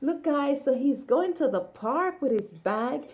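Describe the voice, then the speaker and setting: A woman's voice: high-pitched, animated, unhurried, a little mellow. A woman doing a casual read-along as a mother with a child, in a sing-song way, speaking to the child and to viewers. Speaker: mother reading a picture book with a child.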